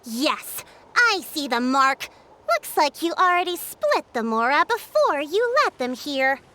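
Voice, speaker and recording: A composed game chief with a very high, bright, animated voice. She sounds commanding and assured, with clear noise behind her. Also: a little slow, exaggerated voice. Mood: firm. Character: composed, authoritative female village chief. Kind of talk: video game voice acting.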